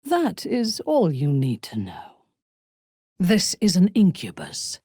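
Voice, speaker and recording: A woman playing a proud, ruthless, seductive aristocratic villain in a video game, speaking in an imperious, deliberate, softly menacing way with a husky, breathy voice. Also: fairly low pitch, huge pitch swings, no echo, clean studio sound, full character voice. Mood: cold, imperious. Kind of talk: dramatic video game voice acting.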